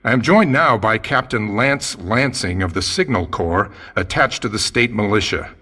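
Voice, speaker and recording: An actor playing a grave, urgent radio news reporter giving a formal eyewitness report in a radio drama. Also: male voice, lowish voice, animated, bright, forceful tone. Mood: grave, alert. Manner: formal, measured on-the-scene reporting.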